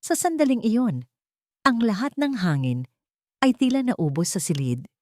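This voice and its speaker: A woman's voice: on the high side, sing-song, measured pace, warm tone. A woman storyteller reading a scripted, dramatic story narration.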